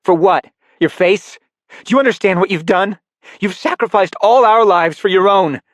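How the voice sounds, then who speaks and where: A man's voice: sits high, normal speed, no echo, voice pushed up, lightly acted. A man doing a scornful, furious young woman fighter. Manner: sharp, scornful.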